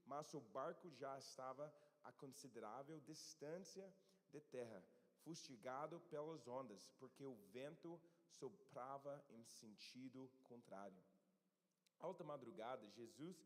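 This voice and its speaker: A man preaching a sermon in a church and reading scripture aloud, often pausing to search for words and correct himself. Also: medium pitch. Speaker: pastor. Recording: long church hall reverb.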